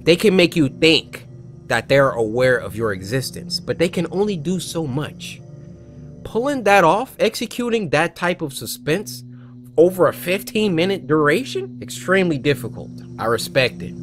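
A young American man giving casual, animated, slangy commentary as he reacts to horror videos. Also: a little high, normal speed, soft background music. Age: young adult. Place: small foam-padded room, indoors.

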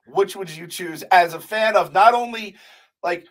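Young guy hosting a podcast, kinda high voice, playful, swears a lot, close studio mic. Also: measured pace. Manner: animated, swearing, playful teasing. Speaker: podcast host. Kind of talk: casual chat. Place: studio room with poster wall. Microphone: large studio mic with headphones.

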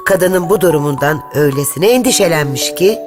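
A woman's voice: on the low side, a little slow. A woman reading a fairy tale as a narrator, in a lilting storytelling manner. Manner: lilting storytelling, lively intonation. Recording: narration over clear background music.

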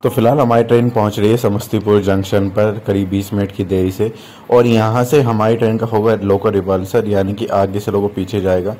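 A man narrating a train journey, explaining the route in a steady way. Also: fairly low pitch, a little fast, a little hiss or hum.

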